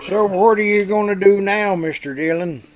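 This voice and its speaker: Frontier sidekick, male actor: highish voice, folksy, inquisitive, good-natured, vintage radio drama. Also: moderate pace, faint music behind, close to an everyday voice, low-quality recording. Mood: curious. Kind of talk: recreated 1950s radio western acting.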